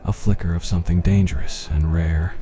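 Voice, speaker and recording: Deep-voiced man narrating an ASMR story. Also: steady tone, fairly quick, dark tone.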